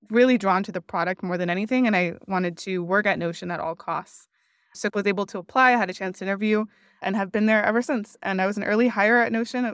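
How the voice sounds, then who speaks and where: A woman's voice: medium pitch, clean tone, dry recording, clean studio sound. A woman from Miami, a podcast guest, talking in a reflective, earnest way and often saying "like". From Miami, United States. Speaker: podcast guest, customer success leader. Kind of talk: conversational interview.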